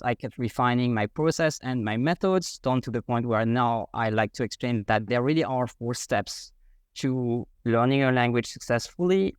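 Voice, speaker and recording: A man from France, a native French speaker with a French accent, explains his teaching method as an interview guest in a measured, methodical way. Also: mid-range voice, no room sound, studio quality. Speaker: guest, French learning coach.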